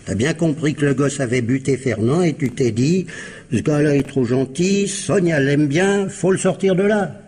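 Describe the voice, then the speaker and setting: An actor playing a sardonic, bullying police interrogator, mocking and pressing in slangy French, in a radio crime drama. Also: male voice, a little high, moderate pace, a little mellow, husky. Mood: sarcastic.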